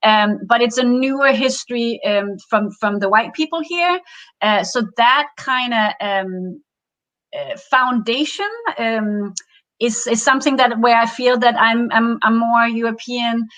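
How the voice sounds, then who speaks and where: A woman's voice: on the high side, measured pace, fairly bright tone. A Danish woman guest in an interview, talking with many fillers and restarts. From Denmark. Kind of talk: interview conversation. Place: home in Oregon woods.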